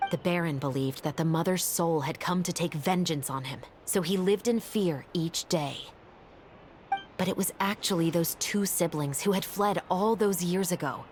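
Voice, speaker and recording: A woman voicing a calm, composed female officer in a video game, speaking in a steady, matter-of-fact way. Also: lowish voice, moderate pace, slight background noise, natural voice. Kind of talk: game voice acting.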